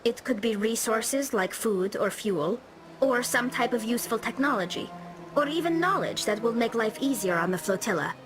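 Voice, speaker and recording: A woman's voice: a little high, animated, moderate pace, music in the background, close to an everyday voice, rough recording. A young actress playing an earnest alien engineer in a video game, patiently explaining her people's ways. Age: young adult.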